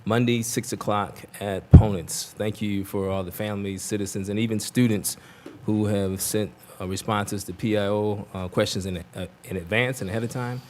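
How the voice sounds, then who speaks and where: Low-voiced man announcing district events at a board meeting, upbeat and chatty, faint noise behind. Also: fairly even intonation, moderate pace, warm tone. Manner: upbeat, chatty, many fillers. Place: meeting hall.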